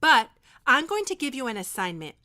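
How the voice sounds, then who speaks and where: A woman playing a stern but caring American high school history teacher in an audio drama, firm and authoritative. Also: big pitch swings, pushed and bright, heavily acted. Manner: firm, scolding, measured authority.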